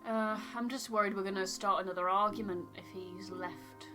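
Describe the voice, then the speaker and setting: A woman's voice: medium pitch, steady tone, normal speed, over music. A woman co-hosting a gaming playthrough in casual chat. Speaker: playthrough co-host and voice reader.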